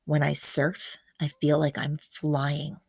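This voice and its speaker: A woman's voice: low-pitched, completely flat delivery, slow, vocal fry, darkish tone, breathy edge, heavily acted. A woman voicing a reserved, awkward young male monster in a storybook, speaking hesitantly and plainly. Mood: quietly confiding.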